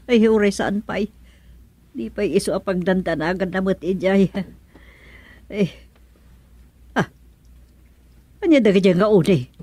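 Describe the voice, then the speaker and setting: A woman's voice: a little high, a little mellow. A woman playing a fretful housewife in a comedic radio drama, talking to herself in a sighing, pleading manner. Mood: worried.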